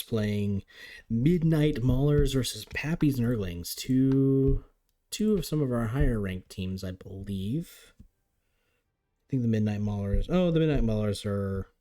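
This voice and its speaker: Low-voiced man calling a game. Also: lively intonation, measured pace, mellow. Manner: chatty, upbeat play-by-play with frequent restarts. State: voice getting a little raspy. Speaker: league commentator. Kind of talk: live game commentary.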